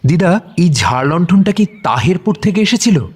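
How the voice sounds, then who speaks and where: Inquisitive young man, slightly high voice. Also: expressive, moderate pace, a little mellow, husky. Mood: urgent, curious. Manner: earnest, probing questions. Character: inquisitive young male sleuth, earnest and respectful. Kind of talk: audio drama acting. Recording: music behind the voice.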